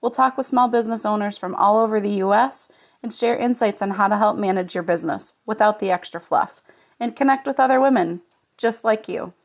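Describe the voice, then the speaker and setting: A woman's voice: mid-range voice, a little fast, creaky. A woman with an American accent, speaking conversationally as the host of a podcast.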